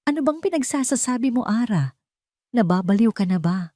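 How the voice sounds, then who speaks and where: A woman's voice: on the high side, lively intonation, moderate pace, dark tone, dry recording, clean studio sound, voice pushed up. A woman voicing a cold, wealthy older mother-in-law who scolds with contempt in an audio drama. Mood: sharp, scolding.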